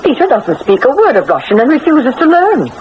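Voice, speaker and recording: A woman's voice: sits high, big pitch swings, brisk, lo-fi sound. A woman playing an anxious, bossy middle-aged aristocratic mother in a radio drama, emotive and scolding. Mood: anxious, pleading. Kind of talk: 1980s radio drama acting. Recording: noisy old radio drama broadcast recording.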